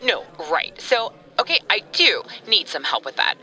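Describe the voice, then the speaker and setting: A woman's voice: mid-range voice, sing-song, moderate pace, pushed and bright. A woman playing a ditzy young caller from Florida who rambles through her problem. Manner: airy, rambling.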